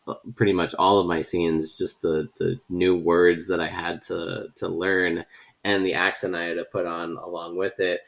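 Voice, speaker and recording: Low-voiced man telling filming stories. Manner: relaxed storytelling, laughs while recalling. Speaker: cast member recalling filming. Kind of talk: casual podcast chat.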